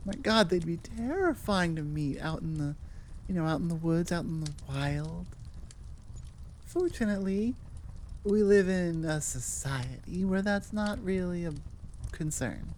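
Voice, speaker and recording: A woman with a North American accent gives a casual, rambling outro as a host. Her voice is slightly low and very unhurried, with a playful, goofy manner and faint noise behind it. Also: animated, a little mellow. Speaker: audio roleplay creator, host.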